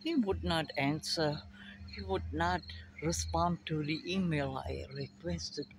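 An older woman with a German accent, musing slowly to a phone camera in her backyard about memory and family. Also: low-pitched, noisy background. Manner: slow, musing, drifting between thoughts. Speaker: vlogger. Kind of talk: rambling personal monologue to camera. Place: backyard outdoors by a fence. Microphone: phone camera at arm's length. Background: crickets and insects.

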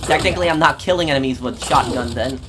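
A man's voice: mid-range voice, fast, background noise. A man giving informal, conversational commentary as he hosts a gameplay video. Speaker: gaming video host. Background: video game sounds.

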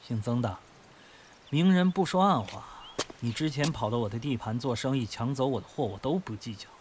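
A man's voice: medium pitch, lively intonation, fairly quick, darkish tone, slight background noise, lightly acted. A man playing a crude, menacing, mocking gangster kidnapper in an audio drama, taunting and foul-mouthed. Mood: taunting threat.

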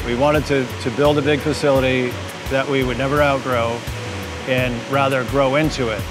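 A man's voice: medium pitch, flat delivery, moderate pace, rough recording. A middle-aged man, a brewery founder, explaining matter-of-factly in an interview. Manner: matter-of-fact, explaining plans. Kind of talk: interview answers. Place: large brewery taproom indoors. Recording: music under the voice.

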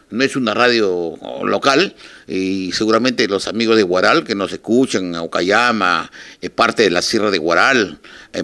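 An older man with a Peruvian Spanish accent speaks haltingly and informally in an interview, addressing his listeners. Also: fairly low pitch, vocal fry, fairly bright tone. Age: 60s or older. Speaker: radio station manager, interviewee. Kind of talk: informal televised statement to listeners. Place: small office, indoors. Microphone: handheld reporters' microphones held close.

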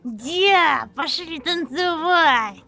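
A woman's voice: extremely high pitch, expressive, drawn-out words, bright, forceful tone, soft background music, heavily acted. A woman voicing a dazed, spaced-out young girl who drawls dreamily. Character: spaced-out dizzy girl friend.

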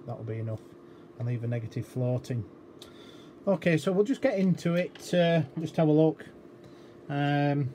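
A man giving an informal technical walkthrough as a repair demonstrator, explaining hesitantly and thinking aloud. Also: mid-range voice. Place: indoor electronics workbench. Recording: clearly noisy workshop room recording.

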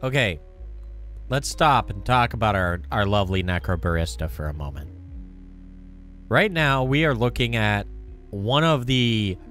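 A man streaming a visual novel with casual, animated, playful commentary. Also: medium pitch. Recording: music playing behind the voice.

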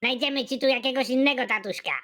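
The narrator voicing a grumpy, bossy male prison guard in an animated comedy, barking orders in an irritable tone. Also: sits high, fast, pitched above the performer's own voice.

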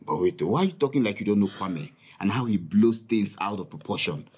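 A man's voice: lowish voice, animated, brisk, darkish tone, breathy edge. A man playing a blunt, unsympathetic young friend in a comedy skit, speaking dismissively with a Nigerian accent.

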